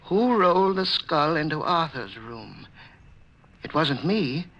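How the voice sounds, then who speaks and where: A man playing a guilty, frightened wife killer in a 1940s radio horror drama, with a halting, stammering delivery. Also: a little high, big pitch swings, a bit bright, dull audio, exaggerated voice. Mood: furtive, calculating. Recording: noisy old radio recording.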